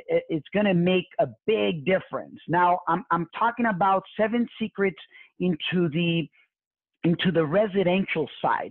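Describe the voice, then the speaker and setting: A man's voice: high-pitched, no echo. Male webinar host, Mexican Spanish accent, motivational, stumbles and restarts a lot, very dry tinny line. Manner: repetitive restarts and stumbling fillers. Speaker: real estate webinar host. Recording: tinny phone-like webinar audio.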